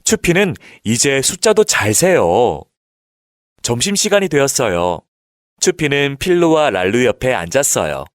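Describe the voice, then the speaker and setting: A man reads a children's storybook as narrator, with expressive, animated intonation made for young listeners. His voice sits at a middle pitch, recorded dry with no room sound. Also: dry recording.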